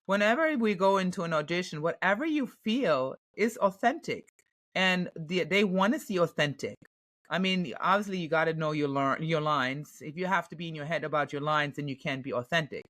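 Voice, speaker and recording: A woman with a German accent talks through an interview answer in an easy, chatty way. She pauses with fillers and restarts her sentences often. The recording is dry and close, with no room sound. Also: low voice, lively intonation, a bit bright, no room sound. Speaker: actress, podcast guest.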